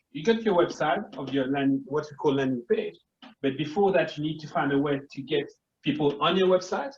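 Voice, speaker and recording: A man's voice: fairly high pitch, lo-fi sound. A man with a Congolese French accent, a marketing consultant guest explaining his ideas earnestly step by step, often restarting his sentences. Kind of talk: casual explanatory interview talk.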